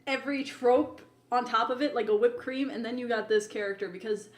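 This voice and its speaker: A woman's voice: fairly high pitch, brisk. A woman co-hosting a podcast in casual banter, chatty and emphatic, with lots of "like" in her talk. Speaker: podcast co-host. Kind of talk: casual chat, review banter.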